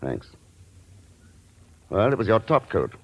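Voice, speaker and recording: Tough male secret agent, low pitch, dry authoritative delivery, muffled vintage radio drama sound. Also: very animated, slow pace, heavily acted. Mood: cool, assured. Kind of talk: 1940s radio drama acting.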